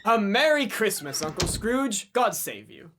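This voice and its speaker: Upbeat young nephew, played by a man, earnest and pleading, with an animated voice, in a radio play. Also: high-pitched, measured pace, a little hiss or hum, heavily acted. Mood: cheerful.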